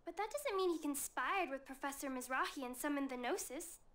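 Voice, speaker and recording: Perky young female sidekick, very high voice, informal and well-meaning, anime game dub. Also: lively intonation, a little fast, fairly bright tone, slight background noise. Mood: awkward, hesitant. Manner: perky, informal, stammers when flustered. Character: chirpy, familiar young female friend, awkwardly well-meaning. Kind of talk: English-dubbed anime-style game acting.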